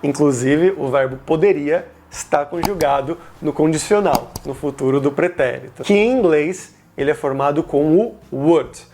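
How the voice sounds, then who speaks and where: A man with a Brazilian accent speaks in a somewhat high-pitched voice. He teaches in an informal, explanatory way, like a video host walking learners through a lesson, and leans on key words for emphasis. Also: normal speed, few pauses, a little mellow. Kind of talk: informal grammar lesson to camera.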